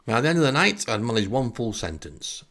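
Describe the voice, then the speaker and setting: Gravelly Northern English man, wry comic storyteller. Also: medium pitch, very animated, fast, a bit bright. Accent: Northern English, Lancashire. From northern England. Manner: wry, self-deprecating, grumbling. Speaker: podcast host. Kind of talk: comic first-person monologue.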